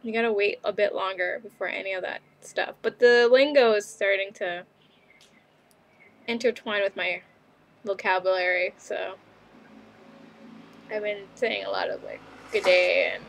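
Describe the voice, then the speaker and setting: A woman's voice: medium pitch, steady tone, measured pace, gravelly, long pauses, slight background noise, rough recording. A young woman from Canada chatting casually in a video diary, rambling with lots of ums and little laughs. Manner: rambling, many fillers and laughs. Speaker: vlogger.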